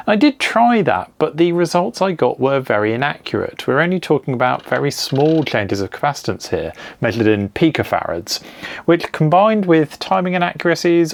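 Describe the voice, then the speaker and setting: A man calmly explaining an electronics build step by step, in a clear, methodical narration. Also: mid-range voice. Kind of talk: scripted technical narration.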